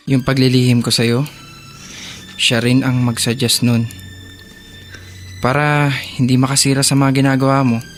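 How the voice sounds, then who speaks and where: Man acting gentle, sincere Filipino older brother. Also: medium pitch, lightly acted. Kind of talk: Tagalog radio drama acting. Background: background music.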